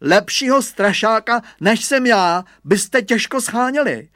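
A man's voice: high-pitched, animated, slightly rough, no echo, studio quality, voice pushed up. A man voicing a cheeky schoolboy scamp, whiny, grovelling and boastful, in a storybook tale. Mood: puffed-up boasting. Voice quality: whining.

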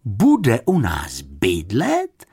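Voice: mid-range voice, wildly swooping pitch, vocal fry, voice pushed up, full character voice. A storybook reader voicing a stout, motherly older housekeeper who is brusque, fussy and exclamatory. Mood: startled. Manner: exclamatory, doubtful, scolding but kindly.

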